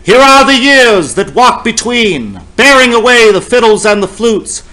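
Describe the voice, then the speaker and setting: A man giving a dramatic reading of a long poem, with a slow, solemn, incantatory delivery. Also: extremely high pitch, sing-song, bright, forceful tone, rough recording. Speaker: poetry reader.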